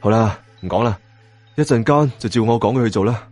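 A man voicing a genial but calculating middle-aged Cantonese gang boss, hearty and deliberate, in an audiobook. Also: mid-range voice, a little mellow, natural voice. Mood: casual, decisive. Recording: music bed behind voice.

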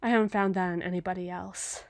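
A woman playing a cruel, possessive evil queen in an ASMR roleplay, haughty and theatrical, with deliberate menace. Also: fairly low pitch, steady tone, dry recording, clean studio sound, lightly acted. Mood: indignant, possessive.

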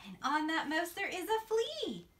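A woman in her thirties doing an expressive bedtime read-aloud for young children, stretching phrases for suspense, recorded on a phone in a bedroom. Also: very high voice, unhurried. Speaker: teacher reading a bedtime story. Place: small carpeted-sounding bedroom, indoors, seated on a bed. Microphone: phone camera a few feet away. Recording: phone video with clear background noise.

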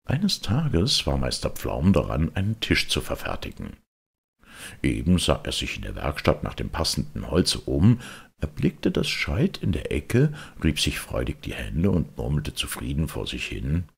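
Man, audiobook storyteller, slow, husky, theatrical, deliberate, children's tale narration. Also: extremely low pitch.

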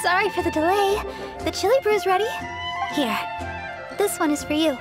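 A sweet young deaconess with an extremely high voice. She is earnest, polite and gentle in an anime game style, with loud music behind her. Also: normal speed. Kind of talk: anime-style video game character acting.

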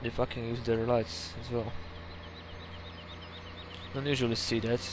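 A man's voice: medium pitch, little pitch movement, measured pace. A young man with a Slovak accent, rambling casually as he plays a farming game. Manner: chatty, rambling, with laughs and mild swearing. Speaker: gaming YouTuber. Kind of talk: casual let's-play commentary. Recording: game sounds and engine noise behind.

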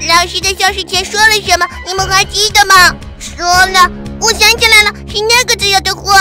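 A very high, squeaky little girl chatters in a children's cartoon, as a woman voices her. She is curious and earnest, and music plays clearly behind her. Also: fairly even intonation, fairly quick. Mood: worried.

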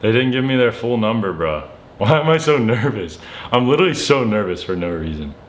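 A young man chatting casually and excitably to camera in slangy, playfully dramatic speech in a bedroom. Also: lowish voice, fairly quick, slight background noise. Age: young adult. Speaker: vlogger making prank calls. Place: bedroom, indoors.

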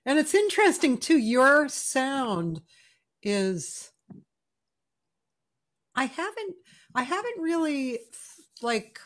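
A woman's voice: high-pitched, big pitch swings, takes it slowly, long pauses. Older woman hosting a video-call chat. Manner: warm, effusive, searching for words. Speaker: host. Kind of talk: casual video-call interview. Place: home music room, indoors. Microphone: studio mic on a video call.

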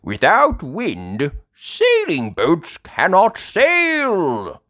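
An earnest, grumbling old grandfather pig from a cartoon storybook, put on by a man. He speaks very slowly and emphatically, with the pitch lifted and swinging widely. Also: huge pitch swings, fairly bright tone, dry recording, clean studio sound, full character voice. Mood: matter-of-fact.

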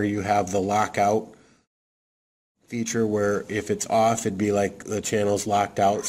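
A man casually walking through a how-to demo, talking in a halting way with many fillers. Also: fairly low pitch, darkish tone. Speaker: radio hobbyist demonstrating programming. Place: indoor desk, off camera.

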